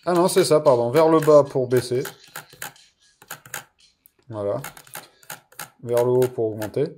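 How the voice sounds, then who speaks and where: A man's voice: medium pitch, a little slow, a little mellow, soft background music. A man giving a casual hands-on product review in an informal, mildly sweary and self-deprecating way.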